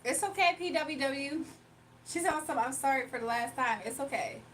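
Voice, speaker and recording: A woman's voice: high voice, moderate pace, fairly bright tone, slight background noise. A woman chatting casually on a live stream from her phone, reading viewer comments aloud and laughing often. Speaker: livestreamer.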